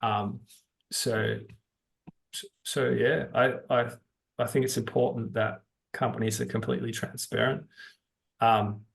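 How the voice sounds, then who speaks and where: Male interview guest, creaky mid-pitched voice, explains things off the cuff in a halting, exploratory way. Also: fairly bright tone. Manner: halting, thinking aloud. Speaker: interview guest, geology-minded stock investor. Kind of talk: unscripted explanatory interview talk.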